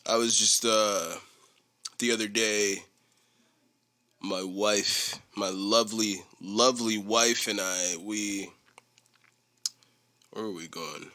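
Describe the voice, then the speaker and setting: A young man hosting a solo podcast, chatting casually in a rambling, profane, animated way. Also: lowish voice, slow, long pauses. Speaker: podcast host.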